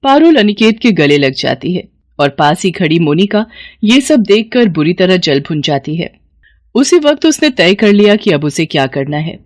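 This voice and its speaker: A woman's voice: on the low side, big pitch swings, moderate pace, dry recording, studio quality. A woman reading scripted moral-story narration as the storyteller.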